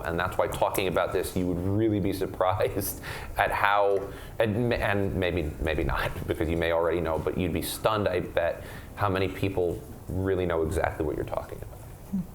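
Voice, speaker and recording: A young man with a deep voice answers audience questions as a state legislator. He speaks earnestly and often restarts or repeats words mid-sentence. A faint hum sits behind him. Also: normal speed. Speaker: state legislator at advocacy forum. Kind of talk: panel Q&A answers.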